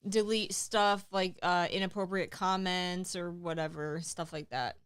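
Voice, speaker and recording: Casual female streamer with a clean, smooth voice, reads out chat. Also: lowish voice, steady tone, measured pace. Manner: chatty, reads viewer comments aloud. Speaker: livestream co-host.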